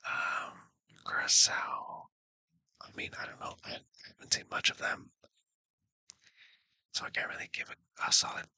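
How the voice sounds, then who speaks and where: A man whispers casually through his sports predictions. His voice is airy and husky with a crisp, sharp edge, very close and dry. He rambles a little, hesitating and correcting himself as he goes. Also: a little slow, pauses often, no room sound. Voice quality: whispered, breathy. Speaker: sports video creator.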